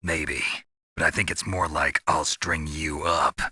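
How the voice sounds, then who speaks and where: A tough cynical soldier from a video game, real low raspy voice, dry close mic, says things short. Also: male voice, steady tone, measured pace, pushed and bright, no room sound, full character voice. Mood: grim. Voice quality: gravelly, husky. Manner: terse, dry, world-weary. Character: tough, cynical male special-operations soldier. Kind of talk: dramatic video game dialogue.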